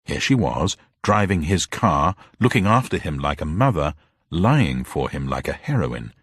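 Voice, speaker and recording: Guy narrating a dramatic story, very expressive, dry close studio sound. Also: extremely low pitch, unhurried, husky, no echo.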